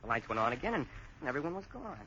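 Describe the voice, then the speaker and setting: A man's voice: fairly high pitch, sing-song, rapid-fire, sharp tone, slight background noise, exaggerated voice. A man playing a blunt, impatient young American in a 1940s radio drama, speaking urgently and in slang. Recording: dull lo-fi 1940s radio broadcast.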